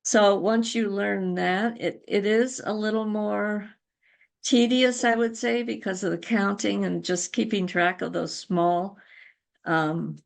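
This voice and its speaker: A woman's voice: mid-range voice, steady tone, slow, gravelly. An older woman casually recalling her experiences as a guest in an interview. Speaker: interview guest, crochet guild founder.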